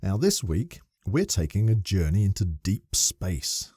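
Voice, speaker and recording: A man co-hosting a podcast in casual chat, animated and playful, reading a synopsis aloud. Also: sits low, a little slow, breathy edge, dry recording, studio quality. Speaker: podcast co-host.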